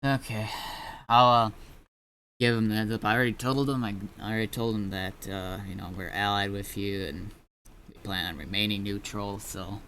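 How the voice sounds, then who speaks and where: Man, lowish pitch, forceful bright tone, informal gamer roleplay chat, halting delivery, faint background noise. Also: fairly even intonation. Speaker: streamer playing a gang leader in online roleplay. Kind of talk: casual in-game roleplay chat.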